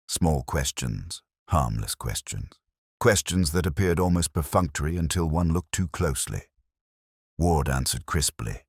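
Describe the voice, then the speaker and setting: A brooding police inspector, played by a man, narrates a detective story in an extremely low, husky voice. The delivery is measured, solemn and literary, with a lively rise and fall across phrases. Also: no echo, studio quality, full character voice. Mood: watchful, grave. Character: brooding, world-weary male police inspector recounting a case. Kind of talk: scripted first-person detective narration.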